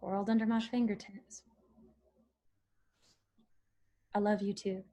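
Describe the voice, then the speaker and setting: A woman reading a wounded, sharp-tongued young country singer with folksy Southern phrasing in a screenplay table read. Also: mid-range voice, little pitch movement, a little fast, lightly acted. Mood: tender.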